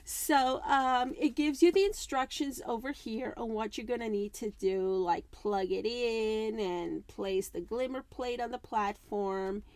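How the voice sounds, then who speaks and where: A woman crafting vlogger going through an unboxing in a chatty way, reading instructions aloud with many fillers, her voice worn and going hoarse. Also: a little high, unhurried, few pauses, darkish tone, no room sound. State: losing her voice. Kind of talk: casual unboxing walkthrough.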